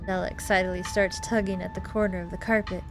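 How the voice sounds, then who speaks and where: A woman reading a visual novel aloud as a gaming host, in an expressive, theatrical way. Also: medium pitch, quick pace. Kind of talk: playthrough reading, narrating and voicing visual novel dialogue with reactions. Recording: music behind the voice. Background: game music.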